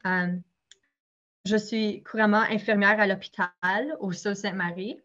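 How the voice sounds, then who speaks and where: A young woman, speaking at a middling pitch in a plain, direct manner with the odd filler. The recording has a slightly muffled, dull sound. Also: flat delivery, a little slow, fairly bright tone. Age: 20s. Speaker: nurse, interview guest. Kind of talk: prayer reading and interview answers.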